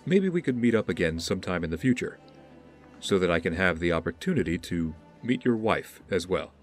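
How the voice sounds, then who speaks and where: An actor playing a controlled young rich man in an audio drama, formal and measured. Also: sits low, lively intonation, faint music behind. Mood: polished, courteous.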